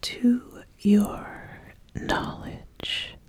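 A woman voicing an ambitious witch who calmly sizes up an apprentice in a soft-spoken, halting, deliberate ASMR roleplay. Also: dry recording. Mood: calm, inquisitive.

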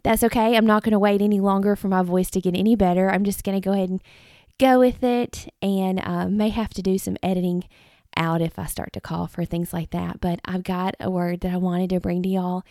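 A woman, hosting a devotional podcast in an earnest, rambling way, her voice a little rough from illness. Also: mid-range voice, darkish tone, dry recording, studio quality. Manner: earnest, rambling with many fillers and restarts. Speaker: podcast host. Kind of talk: casual devotional talk. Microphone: close podcast mic.

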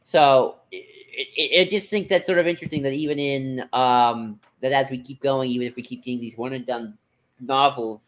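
A podcast co-host in casual discussion, speaking in a hesitant, halting way as if thinking aloud. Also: medium pitch, steady tone. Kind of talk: casual discussion of franchise books and comics.

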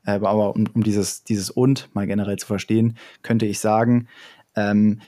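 A man's voice: on the low side, flat delivery, moderate pace, mellow. A young man in casual chat, explaining ideas step by step with frequent fillers and restarts.

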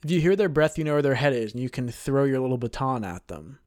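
A man's voice: mid-range voice, lively intonation, fast, a little mellow, no echo, studio quality. A man co-hosting a podcast in casual chat, inquisitive and full of questions. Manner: inquisitive, asks lots of questions. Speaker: podcast co-host.

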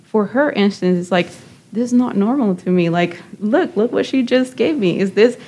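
A woman's voice: mid-range voice, expressive, normal speed, a little mellow, slight background noise. A woman from Nicaragua hosting a panel talk, speaking thoughtfully and a bit ramblingly.

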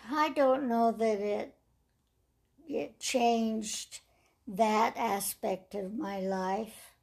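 An older woman with a raspy voice, telling her life story slowly and reflectively in an interview. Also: on the high side, fairly even intonation. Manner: slow, measured storytelling with soft trailing phrases.

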